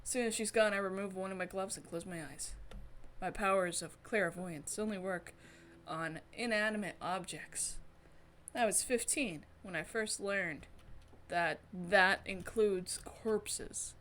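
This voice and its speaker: A woman's voice: mid-range voice, a little slow, a bit bright, close to an everyday voice. A woman streamer reading a visual novel voices a jaded, world-weary clairvoyant investigator narrating in the first person. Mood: somber, wry.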